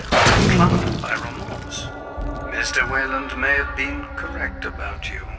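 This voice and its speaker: Slow, creaky, menacing male scientist. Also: mid-range voice, far from the mic, exaggerated voice. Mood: fascinated, hushed. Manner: slow, deliberate, menacingly musing. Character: cold, fascinated older male scientist observing his test subject. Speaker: research scientist. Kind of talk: cinematic video game acting.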